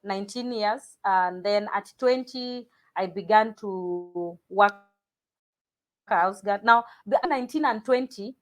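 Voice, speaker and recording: A Kenyan woman, a guest in an interview, telling her life story in a reflective, hesitant way with many pauses and restarts. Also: medium pitch.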